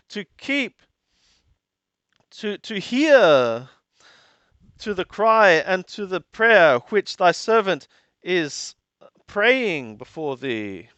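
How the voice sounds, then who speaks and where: A man reading scripture aloud and translating it phrase by phrase in a deliberate, halting manner, often repeating and rephrasing. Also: high voice, a bit bright, smooth, no echo, highs cut off.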